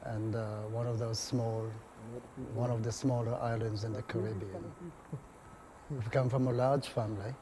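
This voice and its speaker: A man in his seventies from Saint Kitts, introducing himself in a measured, hesitant way. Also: mid-range voice, level tone, background noise. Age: 70s. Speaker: interviewee. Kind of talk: personal introduction in an interview.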